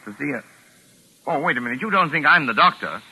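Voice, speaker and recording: An actor playing a jaunty, talkative English gentleman writer in a 1940s radio thriller, with an urbane, wry, excitable manner and a British accent. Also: on the high side, fast, breathy edge, full character voice. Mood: flustered. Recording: noisy vintage radio broadcast recording.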